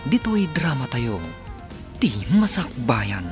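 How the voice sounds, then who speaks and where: A man introduces a radio drama serial in a theatrical, scripted style. His pitch moves widely, and guitar music plays clearly under his words. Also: sing-song, slow. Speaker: programme announcer introducing a drama series.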